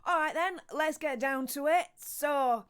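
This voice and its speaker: A woman's voice: high voice, a little slow, fairly bright tone. A young woman with a Yorkshire accent hosting a casual commentary vlog, chatting with lots of fillers and restarts and reading out article passages. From Yorkshire, northern England. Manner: chatty, with many fillers and restarts, reads article excerpts aloud. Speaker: YouTube host.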